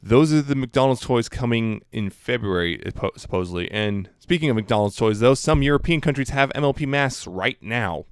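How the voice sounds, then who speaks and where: A man's voice: mid-range voice, animated, normal speed, few pauses, smooth, no room sound, clean studio sound. A man co-hosting a podcast, talking casually through fan news items.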